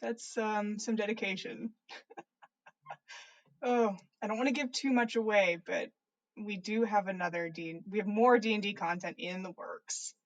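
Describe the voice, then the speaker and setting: A woman's voice: medium pitch, steady tone, measured pace, highs cut off. A woman hosting a story livestream, reading narration aloud in an informal way with casual asides. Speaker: podcast host reading stories.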